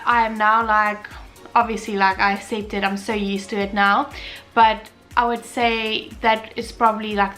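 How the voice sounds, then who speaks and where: A woman's voice: on the high side, fairly bright tone. A young woman from South Africa, an Afrikaans speaker with a South African accent, chatting casually in a Q&A vlog with many fillers and restarts. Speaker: vlogger. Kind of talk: casual Q&A chat.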